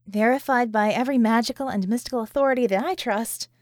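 A woman playing a sardonic, sharp-tongued, weary woman with a wry, emphatic manner in an audiobook. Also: a little high, fast talker, dry recording, studio quality, natural voice. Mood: earnest, explaining.

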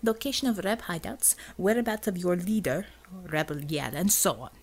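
A woman's voice: fairly low pitch, moderate pace. A woman voicing a domineering female commissar with a Russian accent and a clipped, contemptuous manner. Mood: cool authority.

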